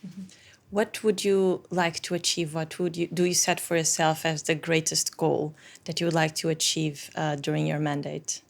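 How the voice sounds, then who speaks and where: A woman hosting an interview, asking questions in a measured way and rephrasing them as she goes. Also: sits low, level tone, vocal fry. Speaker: interview host.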